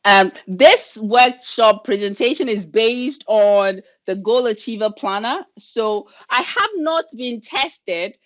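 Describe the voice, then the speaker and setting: A middle-aged woman with a Ghanaian accent, leading an online workshop in a quick, energetic, chatty way over a video call. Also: a little high, muffled recording. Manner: fast, energetic, chatty delivery. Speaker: leadership coach and workshop leader.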